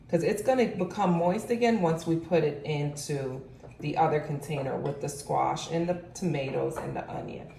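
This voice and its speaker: A woman's voice: lowish voice, vocal fry, dark tone. Chatty woman in an echoey kitchen. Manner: chatty, step-by-step, addresses viewers as guys. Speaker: cooking channel host. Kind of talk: casual cooking demo, talking to viewers. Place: home kitchen. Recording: echoey kitchen, mic far off, noisy.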